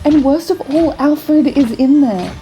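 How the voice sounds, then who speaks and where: A plucky young female sidekick played by a woman speaks in a fairly high voice, questioning and a little dry. Music is loud behind her in this adventure audio drama. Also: expressive, measured pace, rough recording. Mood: worried, dry. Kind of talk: fan audio drama acting.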